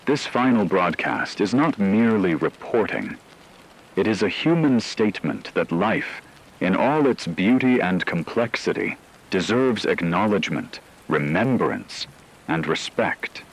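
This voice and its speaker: Man acting as a solemn doomsday radio broadcaster, low-pitched, unhurried, reflective, apocalyptic radio drama. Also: very animated, husky, exaggerated voice, rough recording. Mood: grave, reflective. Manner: measured, reflective, solemn delivery. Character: solemn male radio broadcaster facing world's end.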